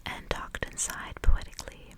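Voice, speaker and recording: A woman reading aloud in a soft, breathy, hushed whisper, in an intimate ASMR style. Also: moderate pace. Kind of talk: whispered ASMR audiobook reading with casual asides.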